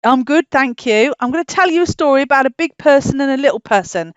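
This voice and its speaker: Female children's storyteller, higher-pitched, informal and animated, explaining simply; close, dry, slightly muffled recording. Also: fast, dry recording. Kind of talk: informal storytelling to a puppet dog.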